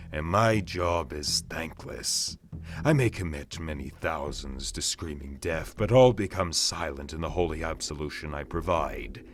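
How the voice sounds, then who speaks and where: A man voicing a grim, ruthless, zealous inquisitor in a far-future horror tale, with slow, contemptuous, theatrical menace. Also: rumbling low, slight background noise. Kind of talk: dramatic monologue in audio horror fiction.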